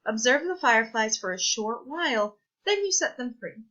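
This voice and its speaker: Bright, high-voiced woman reading aloud. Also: lively intonation, normal speed, lo-fi sound. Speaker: read-aloud presenter. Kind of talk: reading a children's science picture book aloud.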